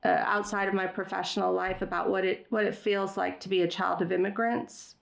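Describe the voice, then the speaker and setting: A woman's voice: medium pitch, little pitch movement, brisk, vocal fry. A middle-aged woman whose first language is Farsi, talking reflectively in an interview with many fillers and restarts. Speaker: guest. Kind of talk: conversational interview.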